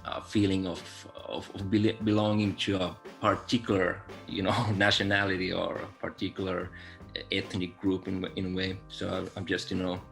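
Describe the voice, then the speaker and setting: A man's voice: deep voice, little pitch movement, moderate pace, gravelly, fairly bright tone, soft background music. A man from Finland, answering interview questions over a video call in a reflective, laid-back way. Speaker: guest musician.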